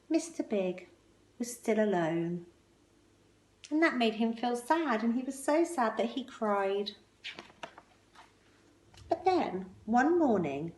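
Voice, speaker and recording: A woman reads a children's storybook aloud at a slow pace, in a roomy, echoing space. Her delivery is expressive and emphatic, with pointed pauses between phrases. Her pitch sits in the middle range for a woman. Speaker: storyteller reading a picture book aloud. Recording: roomy, echoing indoor sound.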